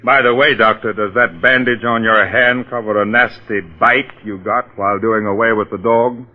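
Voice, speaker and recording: Methodical actor as radio detective, muffled old broadcast. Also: male voice, on the low side, steady tone, moderate pace, close to an everyday voice. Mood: confident, probing. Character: calm, methodical male police detective. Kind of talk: 1940s radio acting.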